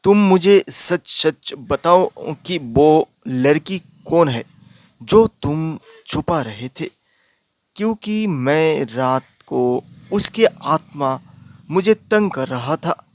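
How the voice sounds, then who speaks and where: Man as slow-speaking earnest young villager Raju. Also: fairly high pitch, animated. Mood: insistent. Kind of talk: cartoon horror story dialogue.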